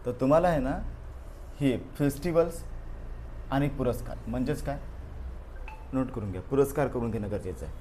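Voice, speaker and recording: A man's voice: medium pitch, moderate pace, pauses often, dark tone, slight background noise. A man teaching a live exam-coaching class, going over a past paper and prodding students with frequent "okay" and "right" fillers. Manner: repeats "बरोबर आहे" and "ओके" as fillers, prods students directly.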